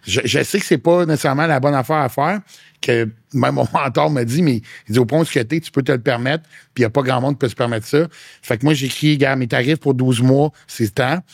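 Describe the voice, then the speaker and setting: A man's voice: medium pitch, a little fast, breathy edge, no echo. A man with a Québécois French accent, a fitness coach talking frankly as a podcast guest, slipping English slang into casual chat. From Quebec.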